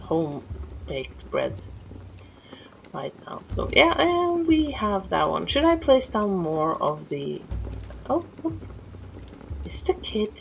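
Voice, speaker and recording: A middle-aged Norwegian-accented woman commentates her building game at a slow, rambling pace. Her low voice has a gravelly fry. A faint hiss of noise sits under the talk. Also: rough recording. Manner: rambling, easily distracted, many restarts. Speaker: gameplay streamer. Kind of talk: casual let's-play commentary. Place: home.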